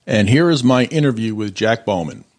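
A man's voice: on the low side, lively intonation, normal speed. A man hosting an interview podcast in a casual, conversational style.

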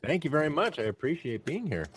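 A man's voice: a little high, sing-song, quick pace, slightly rough, no room sound. A man in his thirties answering casually as a podcast guest. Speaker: podcast guest, audio drama producer. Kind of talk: casual conversational interview answers.

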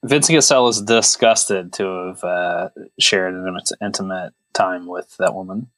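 A man's voice: deep voice, moderate pace, fairly bright tone, no room sound, rough recording. A man co-hosting a podcast, chatting casually about films in a wry, mock-serious way. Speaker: podcast host. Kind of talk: casual joking film chat.

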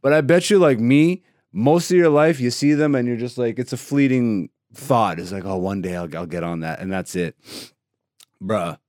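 Comedian podcast host, male, born in Greece; easygoing, swears a lot, breaks into loud hype; dry close mic. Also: mid-range voice, normal speed, no room sound. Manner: laid-back, profane, laughing, with sudden loud excited bursts. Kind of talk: casual solo podcast chat.

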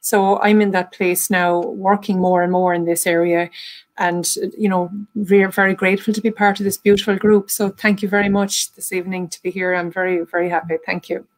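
A woman's voice: lowish voice, level tone, brisk. An Irish woman from Ireland, a panelist on an online call, talking earnestly with many fillers. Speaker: panelist, medium and energy therapist. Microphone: video call.

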